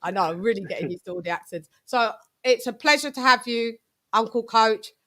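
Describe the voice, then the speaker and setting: British female boxing stream host; bright, forceful voice, slangy casual chat, effusive delivery. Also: on the high side, brisk. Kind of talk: casual live-stream chat with shout-outs.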